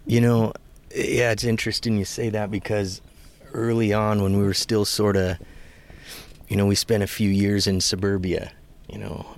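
Flat-voiced, low-pitched man, soft-spoken. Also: moderate pace. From Pacific Northwest. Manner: easygoing, soft-spoken, drawling storyteller. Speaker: guest, former Marine. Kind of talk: casual interview chat. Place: front seat of a parked van, door open, rain.

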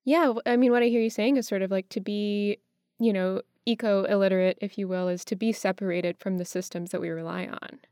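Smooth-voiced American woman, close and dry. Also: mid-range voice, steady tone, fairly quick, darkish tone, dry recording. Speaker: interviewer, host. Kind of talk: casual conversational interview.

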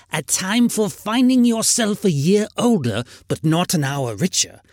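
Storybook miser, elderly male, played by a male narrator: crabby, scornful, stern, animated delivery. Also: mid-range voice, no echo, studio quality, exaggerated voice. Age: older. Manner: indignant, stern, dismissive. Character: crabby, miserly old uncle, sour and scornful. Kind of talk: storybook character reading.

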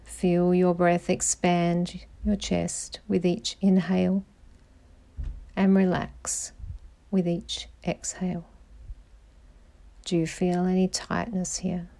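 A woman guiding a meditation in a calm, soothing voice, giving measured instructions with pauses. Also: on the low side, creaky. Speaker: meditation guide, podcast host. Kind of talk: slow guided meditation narration.

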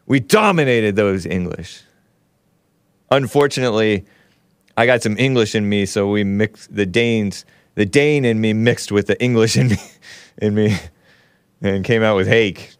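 A man's voice: lowish voice, unhurried, pauses often, clear, resonant tone, dry recording, studio quality. A man hosting a casual livestream, reading viewer chat aloud with jokey asides and frequent chuckles. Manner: jokey, reads chat messages aloud in mock voices, chuckles often.

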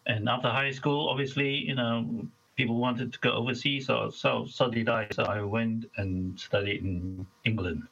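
A man's voice: mid-range voice, fairly even intonation, moderate pace, gravelly, hardly any pauses, fairly bright tone, dull audio. A man in his fifties from Malaysia, guest on an interview, chatting casually and listing details of his work.

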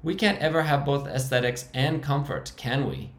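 A man voicing an awkward, high-voiced male survivor with a squeaky tone. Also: steady tone, normal speed, close to an everyday voice. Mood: wry awkward. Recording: roomy echo.

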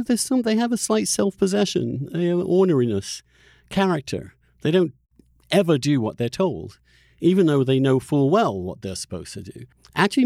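A man's voice: mid-range voice, vocal fry, a little mellow, no room sound, studio quality. A man hosting a podcast, chatting casually and animatedly in a conversational interview. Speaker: podcast host.

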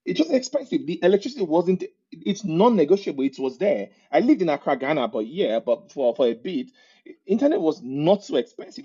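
A man with a Nigerian accent speaks as a podcast guest in a slightly raised, husky voice. He tells his stories with animation and keeps breaking into laughter partway through sentences. The recording sounds dull and lo-fi. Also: a little high. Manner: animated storyteller, often laughing mid-sentence. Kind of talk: casual interview conversation.

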